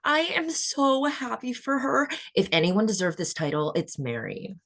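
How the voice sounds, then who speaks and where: A woman's voice: on the high side, sing-song, measured pace, fairly bright tone, exaggerated voice. A woman playing a vain, jealous beauty queen in her twenties at a script table read. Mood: falsely sweet. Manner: seething, falsely sweet. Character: resentful, vain twenty-five-year-old beauty queen, murderously jealous.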